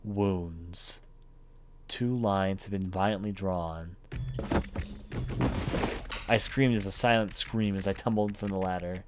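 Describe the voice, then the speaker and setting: Male let's player, low register, dramatic horror story reading with game music clearly underneath. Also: fairly even intonation, takes it slowly, long pauses. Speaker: let's player reading a visual novel aloud. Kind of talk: dramatic first-person story narration for a horror game playthrough. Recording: music behind the voice.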